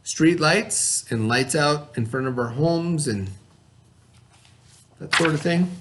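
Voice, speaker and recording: A man's voice: fairly high pitch, takes it slowly, noisy background. A man teaching young children, reading a story aloud and then reviewing it with patient questions.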